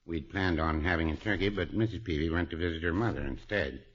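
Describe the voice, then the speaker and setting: An actor playing a mild, henpecked older druggist with a dry, folksy, understated delivery in 1950s radio comedy. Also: male voice, low-pitched, quick pace, close to an everyday voice. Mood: mild, wry. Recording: muffled, very noisy vintage radio broadcast.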